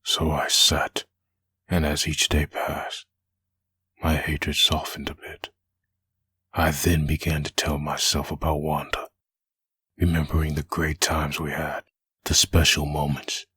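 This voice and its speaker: A man playing a brooding undead antihero full of hatred in a comic dub, with a gravelly rasp and a grim monologue delivery. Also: extremely low pitch, completely flat delivery, sharp tone, no room sound, full character voice. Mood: bitter, brooding. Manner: grim, slow internal monologue. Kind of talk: comic dub dramatic narration.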